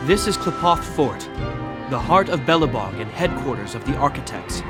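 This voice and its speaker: An actor playing a formal, dutiful captain of a fortress city in a video game, measured and earnest. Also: male voice, mid-range voice, expressive, close to an everyday voice. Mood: solemn, proud. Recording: music behind the voice.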